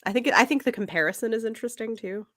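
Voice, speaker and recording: A woman's voice: fairly high pitch, fast talker, no echo, clean studio sound. A woman co-hosting a podcast, chatting casually about books in a tentative, conversational way. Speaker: podcast co-host. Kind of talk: casual book discussion.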